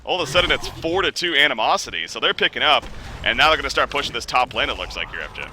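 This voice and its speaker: A man casting an online game match, calling plays with excitement and joking with his co-caster. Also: fast. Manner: excitable, joking, rising pitch on big plays. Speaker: esports caster. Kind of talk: play-by-play game commentary.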